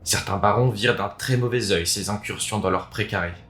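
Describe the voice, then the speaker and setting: A man's voice: lowish voice, brisk, fairly bright tone, a little hiss or hum. A man presenting a video, talking and reading aloud as its host. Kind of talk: casual video introduction, then expressive reading of a written story. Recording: roomy echo, music underneath. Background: background music.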